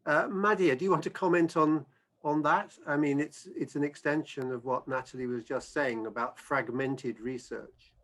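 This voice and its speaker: A man moderating an online research panel, reading out audience questions and passing them to panelists in a measured way. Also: a little high, fairly even intonation, a bit bright.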